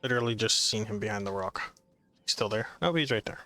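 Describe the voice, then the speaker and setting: A man's voice: fairly low pitch, lively intonation, quick pace, sharp tone, faint music behind. A man giving casual, sarcastic commentary as he plays a game. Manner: sarcastic, wry. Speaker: gamer commenting on playthrough.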